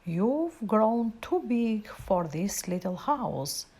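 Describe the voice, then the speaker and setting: A woman's voice: medium pitch, very animated, slow pace, mellow, exaggerated voice. A woman voicing a loving but firm mother pig in a storybook, giving earnest, emphatic advice.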